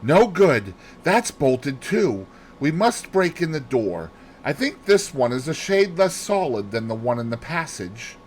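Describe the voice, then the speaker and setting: A brisk, decisive gentleman of a classic mystery, acted out by a male audiobook reader. He gives orders urgently, his pitch jumping about in a commanding way, with faint noise behind. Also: big pitch swings.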